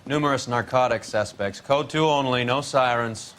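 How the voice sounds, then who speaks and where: An actor playing a cynical, wisecracking police detective in a video game, with a gruff, sardonic manner. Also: male voice, mid-range voice, moderate pace, fairly bright tone, close to an everyday voice. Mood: businesslike. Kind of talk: video game drama acting.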